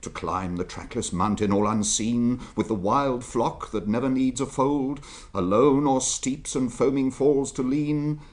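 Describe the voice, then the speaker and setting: A man's voice: medium pitch, steady tone, unhurried, darkish tone, natural voice. A man acting, playing a genial, inquisitive royal secretary in a conversational manner. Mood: genial, musing. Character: curious, genial royal secretary questioning his colleague. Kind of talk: BBC radio drama acting.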